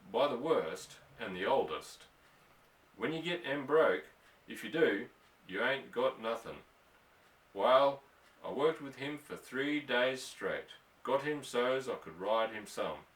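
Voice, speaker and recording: A man's voice: mid-range voice, fairly bright tone. A man voicing a laconic, plainspoken bronco buster in a storybook reading. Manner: folksy drawling rustic dialect. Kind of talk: storybook character voice in audiobook. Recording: roomy echo, distant from microphone.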